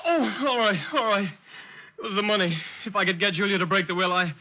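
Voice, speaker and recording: High-pitched cornered crook, pleading. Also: male voice, fast talker. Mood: defeated confessing. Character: cornered crooked lawyer, a man, pleading and confessing. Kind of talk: 1940s-50s radio drama acting. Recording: dull old radio recording.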